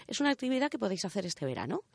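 A woman with an accent from Spain chats in a dry studio sound at an average pitch. She explains in a casual, step-by-step way, tossing in little check-in questions as a radio contributor would. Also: sing-song, very fast, vocal fry, slightly rough, dry recording. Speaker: radio show contributor presenting a section.

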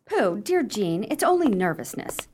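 Woman playing a brisk, lively, skeptical sister. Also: medium pitch, a little hiss or hum. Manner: dry, bossy, genteel. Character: brisk, skeptical, practical sister of a widowed lady. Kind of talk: radio play acting.

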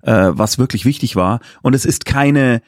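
A man's voice: mid-range voice, moderate pace, no room sound. Enthusiastic man chatting in a dry recording. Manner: enthusiastic, chatty, detailed explaining. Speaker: guest, audio drama director. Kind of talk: casual conversational interview.

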